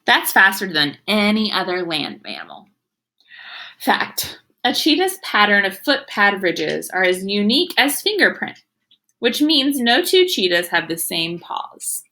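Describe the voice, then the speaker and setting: A woman's voice: medium pitch, sing-song, unhurried, pushed and bright. A woman teacher reading aloud to young children, explaining as she goes in an instructive way and sounding out words. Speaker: elementary school teacher.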